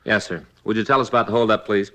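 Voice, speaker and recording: Male detective sidekick played by an actor, 1950s radio: businesslike, courteous, quick, with a forward, bright tone. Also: lowish voice, fairly even intonation, natural voice. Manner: brief, polite questioning. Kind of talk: 1950s radio drama acting. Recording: vintage 1950s radio recording.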